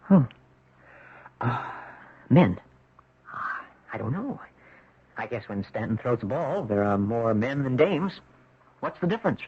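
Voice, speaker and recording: An American actor playing a tormented married man confessing a haunted past in a brooding, halting 1970s radio drama style. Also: medium pitch, very animated, breathy edge, dry recording, heavily acted. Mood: casual. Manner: brooding, halting, rhetorical questioning. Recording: muffled vintage radio broadcast.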